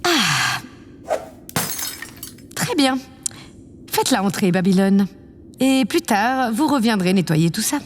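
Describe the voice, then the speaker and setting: A woman's voice: mid-range voice, sing-song, slow, long pauses. A woman playing a vain, imperious yet affectionate president of a space colony in an audio drama, with a pompous, condescending manner. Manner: pompous, condescending, theatrically self-satisfied. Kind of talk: scripted audio drama acting. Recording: long reverb, clear background noise.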